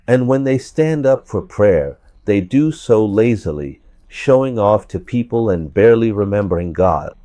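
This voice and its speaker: A man with a hoarse voice from a cold, giving verse-by-verse scripture commentary and repeating points for emphasis. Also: fairly low pitch, lively intonation, measured pace, few pauses, dark tone, no echo. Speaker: religious commentator.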